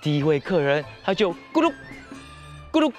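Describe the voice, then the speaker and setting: A young man with a Taiwanese accent narrating a children's story in an animated TV presenter style. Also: on the high side, normal speed, soft background music. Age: young adult. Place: TV studio set.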